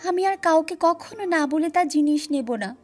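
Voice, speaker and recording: A woman voicing a frightened, naughty young boy who pleads loudly in a children's cartoon story. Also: animated, normal speed, faint music behind. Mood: pleading. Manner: loud, high, pleading.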